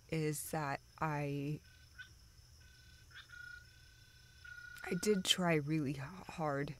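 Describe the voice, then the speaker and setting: A woman playing a dejected, self-doubting young woman who confides haltingly in a friend, in an intimate, naturalistic audio roleplay. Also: low voice. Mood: downcast, halting. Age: young adult. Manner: halting, hesitant, self-deprecating.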